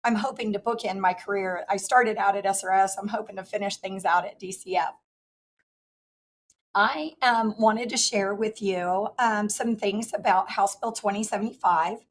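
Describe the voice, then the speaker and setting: A woman in her fifties, hoarse from losing her voice, giving formal testimony as a regional director over a remote call. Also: mid-range voice, moderate pace. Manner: earnest, steady, with fillers. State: hoarse, lost voice. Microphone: remote call audio.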